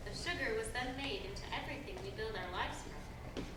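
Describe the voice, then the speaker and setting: An actress playing a reflective storyteller, narrating memories in a stage play. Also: female voice, on the high side, expressive, quick pace, very noisy, natural voice. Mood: storytelling. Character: reflective narrator recalling their life in memory. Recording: very echoey distant stage recording. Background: loud music.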